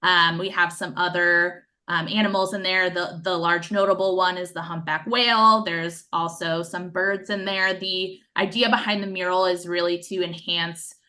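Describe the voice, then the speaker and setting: A woman's voice: fairly low pitch, fairly even intonation, moderate pace, hardly any pauses, sharp tone. A woman on city staff presenting a project update at a public meeting, talking conversationally with frequent um fillers.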